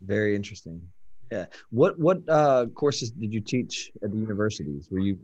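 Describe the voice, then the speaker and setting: Deep-voiced man hosting a call. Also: very animated, normal speed, darkish tone, husky, no echo. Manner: friendly, hesitant, many fillers. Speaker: interviewer host. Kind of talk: casual interview on a call. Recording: dry muffled call audio.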